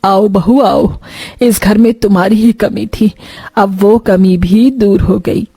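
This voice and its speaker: Woman playing middle-aged mother-in-law, emotional, doting, fussing, husky tone, story drama. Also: mid-range voice, steady tone, takes it slowly, warm tone, no echo. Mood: affectionate.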